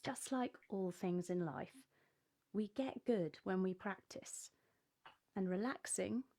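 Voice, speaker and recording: A woman with a medium-pitched voice guides children through relaxation at a slow pace. Her instructions are gentle and measured, given one step at a time like a scripted narration. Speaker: host of a children's relaxation program.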